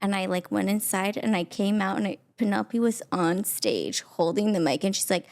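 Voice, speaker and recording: A woman in her thirties with a high voice hosting a podcast at home, chatting casually and laughing often. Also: moderate pace, gravelly, darkish tone. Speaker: podcast host. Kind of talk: casual chat. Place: her house.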